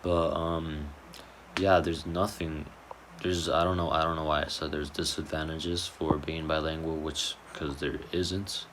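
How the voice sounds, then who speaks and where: Young guy with a super deep flat voice, chatty and loose, bit of hiss behind. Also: a little slow. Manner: loose and informal, with restarts and fillers. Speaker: student speaker. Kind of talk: casual personal statement.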